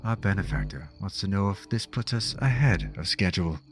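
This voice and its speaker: An actor playing a coolly menacing agent of a secret backer, speaking in a measured, threatening way in an audio drama. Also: male voice, low voice, animated, faint music behind. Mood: cool, businesslike.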